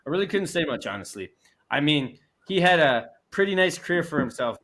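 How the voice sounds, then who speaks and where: A man's voice: fairly high pitch, sing-song, fairly quick, a bit bright. A young Canadian man hosting a casual sports chat show, talking in loose rambling restarts with easy laughs.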